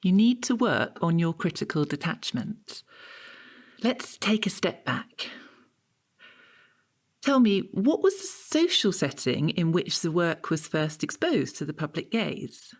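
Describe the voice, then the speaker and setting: An austere art tutor in an audio comedy drama. Her voice is fairly low with lively swoops of intonation. She is prim and clipped, like a faintly judgmental teacher. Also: takes it slowly, full character voice. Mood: patient, probing.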